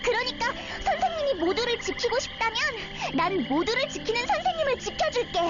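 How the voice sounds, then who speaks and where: Squeaky, plucky little game girl. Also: sing-song, fairly quick, very noisy. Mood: earnest, determined. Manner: childlike, refers to self in third person. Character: plucky innocent young girl, cheerful, eager fighter. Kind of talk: anime-style game voice acting. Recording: slightly dull audio under loud music. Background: loud background music.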